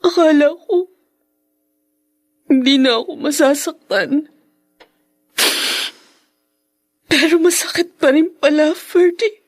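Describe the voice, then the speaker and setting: A woman playing a proud, heartbroken young woman in an earnest, melodramatic radio drama. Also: high-pitched. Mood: tearful, sad. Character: wounded, proud young woman still secretly in love. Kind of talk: Tagalog radio drama acting.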